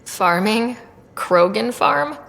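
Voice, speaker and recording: A woman's voice: fairly high pitch, animated, slow, vocal fry, fairly bright tone, in a large hall, exaggerated voice. An actress playing a young, curious, easygoing space explorer in a video game, casual and direct in her questions. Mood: inquisitive. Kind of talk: video game character dialogue.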